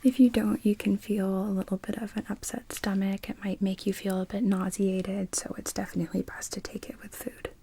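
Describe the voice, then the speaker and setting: A woman's voice: mid-range voice, little pitch movement, fairly quick, hardly any pauses. Whispering woman in an ASMR doctor roleplay. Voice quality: whispered, breathy. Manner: gentle, reassuring, explanatory. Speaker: ASMR performer playing an emergency room doctor.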